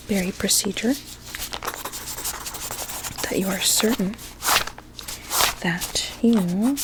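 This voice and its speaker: A woman playing a sweetly coaxing, sinister doctor in an ASMR roleplay, with a deliberate, soothing and formal manner. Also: medium pitch, noisy background. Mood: calmly clinical. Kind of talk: whispered ASMR medical roleplay.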